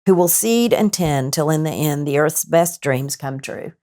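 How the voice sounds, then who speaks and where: A woman's voice: deep voice, lively intonation, unhurried, dark tone. A woman chatting casually about books, appreciative and wandering between half-finished thoughts as a panelist. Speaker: show panelist. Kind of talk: casual book discussion.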